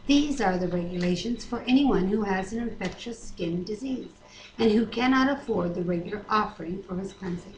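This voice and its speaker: A woman reads scripture aloud at an unpolished, everyday level, sometimes correcting herself or commenting. Her voice sits at a middling pitch. The webcam sound is echoey, with faint noise behind it. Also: moderate pace. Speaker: Bible reader and commenter. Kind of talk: reading scripture aloud with casual asides. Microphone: webcam microphone. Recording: echoey room, noisy webcam audio.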